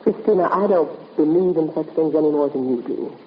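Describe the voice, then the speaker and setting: A woman's voice: deep voice, brisk, natural voice. Level-headed, concerned female sleuth's assistant played by a woman, vintage radio drama, muffled with faint noise. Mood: earnest, reassuring. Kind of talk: 1950s radio drama acting. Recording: muffled, noisy 1950s radio recording.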